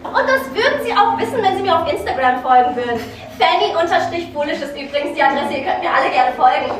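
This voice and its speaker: Spoiled rich-girl influencer, snotty stage delivery, very high voice, distant reverberant recording. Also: animated, fast talker, a little hiss or hum. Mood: snotty, mocking. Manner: flippant, mocking. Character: spoiled young influencer daughter, sassy and self-absorbed. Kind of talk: theatrical live dinner-show acting.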